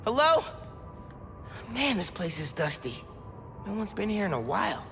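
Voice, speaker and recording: An actor playing an earnest young American superhero, thinking aloud in casual, clipped phrases as in a video game. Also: male voice, sits high, big pitch swings, normal speed, creaky, full character voice. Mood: curious, surprised. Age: young adult. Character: earnest, curious teenage male superhero investigating. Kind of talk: video game voice acting, self-talk while exploring. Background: background music.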